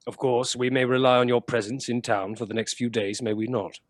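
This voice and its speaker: A man's voice: medium pitch, flat delivery, fairly quick, dry recording, studio quality, natural voice. Man playing a formal, probing police official. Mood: formal, insistent. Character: courteous, probing male police official questioning a suspect. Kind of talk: radio play acting.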